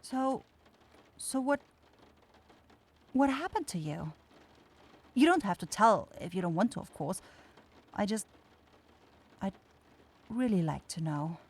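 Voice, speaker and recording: A woman's voice: medium pitch, expressive, slow, pauses often, darkish tone, husky, full character voice. A woman playing a kind young forest witch in an ASMR fantasy roleplay, shy and caring, easily flustered into stammering. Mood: gentle, concerned.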